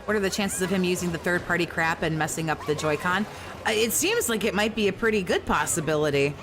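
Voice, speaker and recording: A woman giving reaction commentary in a fairly monotone, rambling way, critical and swearing often. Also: on the low side, fast talker, music in the background.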